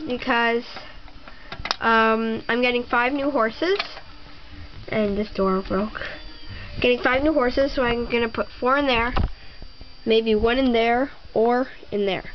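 A young girl giving a rambling tour of her toy horse barn at home, with many ums and pauses. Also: a little high, a little hiss or hum. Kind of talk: casual home video narration. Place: bedroom at home. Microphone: handheld camera mic. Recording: slightly dull lo-fi home camera audio.

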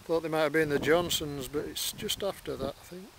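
A man's voice: on the high side, fairly quick. A man in his forties with a Yorkshire accent, commenting drily on his bottle dig as he films it outdoors in the countryside. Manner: dry, wry understatement. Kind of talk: casual commentary while digging. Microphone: handheld or worn camera close to face. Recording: outdoor camera mic with clear background noise.

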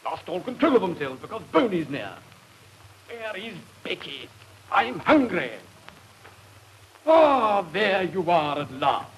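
An actor with a British accent playing a pompous, cowardly, blustering gentleman in a 1950s radio drama. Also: high-pitched, sing-song, slow pace, long pauses, heavily acted, low-quality recording. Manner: blustering, stammering. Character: pompous, cowardly, greedy military-posing English gentleman. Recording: hissy 1950s radio recording, rough sound.

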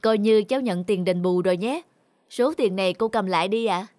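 A woman's voice: medium pitch, fairly quick, music in the background, close to an everyday voice. A woman voicing a stern but kind-hearted young businessman in a cartoon, speaking in a firm, lecturing way. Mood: kindly, earnest. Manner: firm, lecturing, matter-of-fact. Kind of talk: cartoon voice acting.

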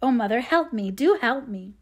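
A woman's voice: fairly high pitch, expressive, moderate pace. A woman voicing a passionate, remorseful fifteen-year-old girl in a storybook, earnest and pleading. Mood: anguished pleading.

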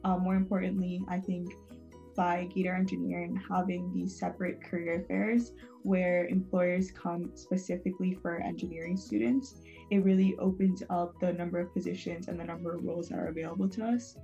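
A young woman from Venezuela, an engineering student, answering interview questions calmly over a video call. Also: on the low side, brisk, vocal fry, highs cut off, soft background music. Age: young adult.

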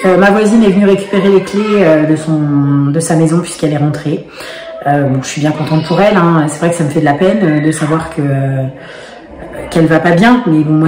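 Chatty husky woman vlogging at home. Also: lowish voice, darkish tone. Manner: chatty, many hesitations, frequent laughs. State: slight cough and cold. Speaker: vlogger, single mother. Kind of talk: casual home vlog to camera. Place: small rooms of a home, indoors. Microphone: phone held at arm's length. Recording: echoey room.

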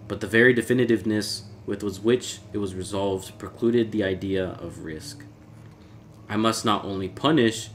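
Deep-voiced man narrating a horror story. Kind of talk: dramatic reading of a classic horror story. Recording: clear background noise, ambience.

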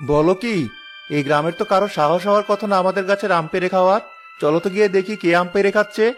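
A man's voice: sits high, very fast, close to an everyday voice. A man voicing a stern village son guarding the family's tree, in a declamatory children's cartoon style. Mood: stern, threatening. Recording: music behind the voice.

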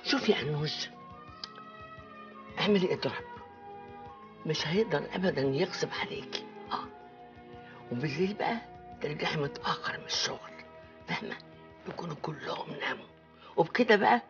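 A bossy older Egyptian aunt in a TV comedy. Her voice is gravelly and swings widely in pitch as she coaxes and schemes in a theatrical drawl, with music underneath. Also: expressive, brisk, long pauses, highs cut off. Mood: scheming. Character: bossy, theatrical older aunt giving cunning marital advice. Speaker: actor in a TV comedy series. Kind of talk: comic TV drama dialogue. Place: living room set, indoors.

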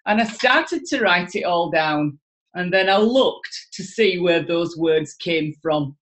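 A middle-aged woman with a Yorkshire accent, speaking as a guest in an enthusiastic, expressive way over a video call from home. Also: fairly low pitch, slow, low-quality recording. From Yorkshire, England. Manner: enthusiastic, expressive, gestures a lot. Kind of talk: animated motivational talk. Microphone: video call webcam.

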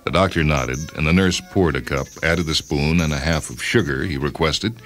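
A man's voice: very deep voice, normal speed, a bit bright. A man reading a short story aloud as a scripted, dramatic narration. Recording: music bed under the voice. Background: music.

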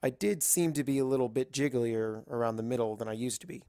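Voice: medium pitch, fast talker, mellow, no room sound, studio quality. A narrator reading a scripted story in the first person. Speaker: fan fiction narrator.

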